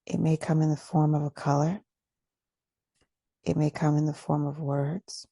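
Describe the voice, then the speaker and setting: A woman guides a meditation in a low, husky voice with a gravelly edge. She speaks softly and deliberately, leaving long, gentle pauses. The sound is close and dry, with very little room around her. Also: flat delivery, no echo. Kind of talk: guided meditation, soft and soothing.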